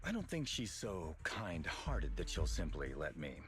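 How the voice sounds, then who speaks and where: An actor voicing a cunning, cynical tiger-clan villain in a video game, speaking in a theatrical, formal, taunting way. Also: male voice, low-pitched, very animated, unhurried. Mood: menacing. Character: cunning, cynical male tiger-clansman villain, grandiose and cruel. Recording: loud music behind the voice.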